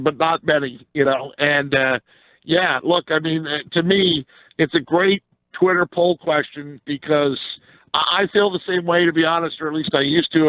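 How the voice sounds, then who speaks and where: A man's voice: a little high, no echo, phone-quality sound. An American man chatting casually and bluntly about sports as an opinionated radio guest. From Philadelphia area, USA.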